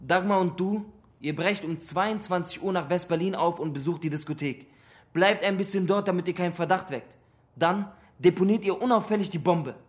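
An actor playing a businesslike, commanding leader of a terror cell in radio drama dialogue. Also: male voice, high voice, moderate pace, close to an everyday voice.

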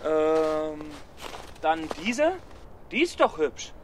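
An actor playing a timid, earnest, hesitant young scholar in a children's radio play. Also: male voice, on the high side, sing-song, very slow, a little hiss or hum, full character voice. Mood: hesitant, flustered.

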